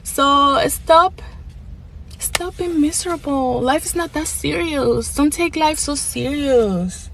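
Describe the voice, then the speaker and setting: A woman's voice: sits high, takes it slowly, gravelly, a bit bright, slight background noise. A woman from the Dominican Republic giving a casual, dismissive rant to the camera in a lecturing tone.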